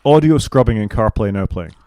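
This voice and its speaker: A man's voice: on the low side, expressive, a little fast, mellow. A British man co-hosting a casual tech podcast, working through a list with wry, opinionated asides. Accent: British English. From United Kingdom. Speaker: podcast co-host.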